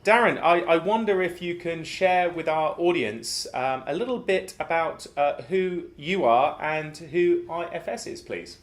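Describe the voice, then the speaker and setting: A man's voice: on the high side, animated, measured pace, few pauses. A man hosting a podcast interview, encouraging and given to repeating words as he asks questions. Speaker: podcast host.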